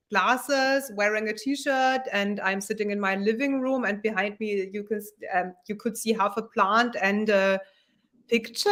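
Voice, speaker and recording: A woman's voice: medium pitch, fairly bright tone. A woman in her forties with a German accent, speaking thoughtfully as a guest on a video call from her living room. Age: 40s. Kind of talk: casual video call talk. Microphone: webcam on video call.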